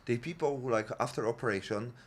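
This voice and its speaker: A man hosting a podcast, talking in animated, broken English and swearing casually. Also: medium pitch, fast talker. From Poland. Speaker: podcast host. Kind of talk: casual conversation.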